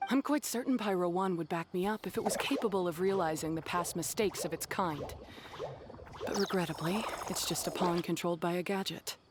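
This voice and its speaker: Game role: woman playing resolute young female rival, lowish voice, cool disdain, faint ambient noise behind. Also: a little fast, close to an everyday voice. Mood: disdainful. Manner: stern, matter-of-fact, disdainful. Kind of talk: dramatic game voice acting.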